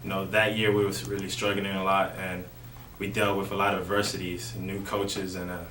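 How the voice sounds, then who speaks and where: Low-voiced young man, distant roomy sound. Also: brisk. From Miami, Florida. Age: young adult. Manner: relaxed, frequent "you know" fillers. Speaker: college baseball player. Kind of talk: casual interview answers. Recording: roomy, distant mic with faint noise.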